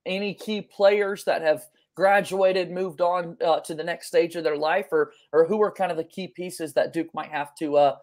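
A man's voice: a little high, fairly even intonation, moderate pace, few pauses, a little mellow. A man in his thirties hosting a sports podcast in an eager, enthusiastic way from a small room at home. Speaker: podcast host.

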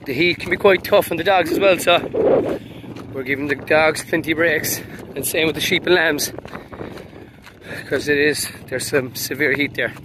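A man's voice: fairly high pitch, moderate pace, noisy background. An Irish man, a sheep farmer, chatting casually while he herds sheep on a hillside and calls to his dogs. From Ireland. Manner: calls sharply to the dogs between chatty asides. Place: open mountain hillside outdoors.

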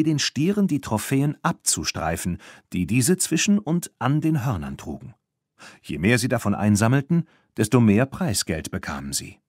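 A man narrating a children's audiobook in a measured, clearly enunciated storytelling manner. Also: on the low side, darkish tone, no room sound, studio quality. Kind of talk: scripted children's audiobook narration, reading characters' lines.

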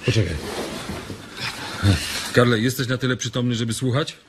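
Firm, commanding ranch hand, mid-pitched man acting. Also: level tone, moderate pace, noisy background, natural voice. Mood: cool, firm. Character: calm, commanding ranch foreman, coolly threatening. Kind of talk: Polish radio drama acting.

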